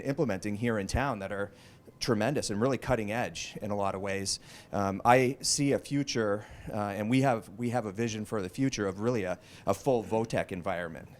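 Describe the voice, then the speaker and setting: A man with a slightly low voice addresses a community meeting through a handheld microphone. He talks haltingly, full of "um"s and restarts, in an earnest public-talk manner. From Vermont. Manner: halting, many fillers and restarts. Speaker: school program speaker. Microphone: handheld mic.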